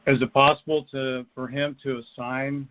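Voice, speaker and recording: A male caller speaks over a dull, dry phone line in a flat, even tone. He phrases his casual questions haltingly, as someone seeking advice. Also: medium pitch, dry recording. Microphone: telephone.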